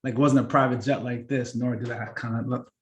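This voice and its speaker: A man in his thirties, born in Kenya and raised in Seattle, giving a relaxed, chatty career talk to students with lots of fillers and restarts. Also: mid-range voice, brisk. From born in Kenya, grew up in Seattle. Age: 30s. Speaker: workshop presenter.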